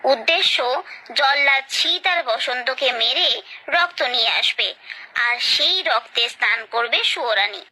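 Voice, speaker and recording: A woman's voice: high voice, lively intonation, unhurried, pushed and bright, low-quality recording. A woman narrating a scripted children's story, expressively and directly addressing her young listeners.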